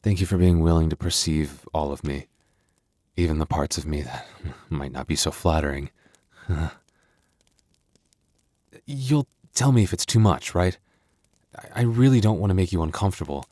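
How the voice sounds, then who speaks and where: A man's voice: deep voice, expressive, moderate pace, long pauses, warm tone, no echo, studio quality. A man playing a young werewolf boyfriend, tender but protective with a tough edge, in an intimate ASMR roleplay. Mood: shy, nervous.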